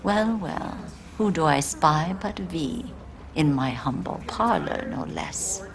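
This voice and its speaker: A woman playing a shrewd older Japanese underworld fixer in a video game, sly and mock-courteous, with sardonic, deliberate delivery. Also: low-pitched, animated, vocal fry, background noise, heavily acted. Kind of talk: dramatic game dialogue.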